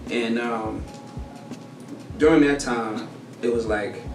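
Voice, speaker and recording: Give me an animated, slangy man telling stories as an interview guest, recorded from afar in a roomy space. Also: medium pitch, slow pace, music in the background. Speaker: guest songwriter. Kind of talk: casual interview storytelling. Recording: echoey room, distant mic, noisy.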